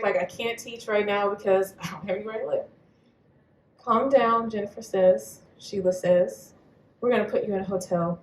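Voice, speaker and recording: A woman's voice: mid-range voice, slight background noise. A woman on a live panel telling personal stories, candid and blunt, swearing freely. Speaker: panelist poet. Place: venue hall at a live reading. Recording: echoey hall, mic at a distance.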